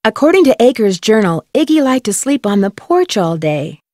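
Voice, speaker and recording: A woman's voice: a little high, sing-song, moderate pace, a little mellow, no room sound, clean studio sound. A woman playing a curious young amateur detective in a game, explaining things articulately and matter-of-factly. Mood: explanatory. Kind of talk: scripted game voice acting.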